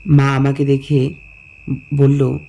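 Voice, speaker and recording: A man narrating a scripted first-person story in measured phrases. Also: medium pitch, monotone, vocal fry, dark tone, slight background noise. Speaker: narrator and lead character.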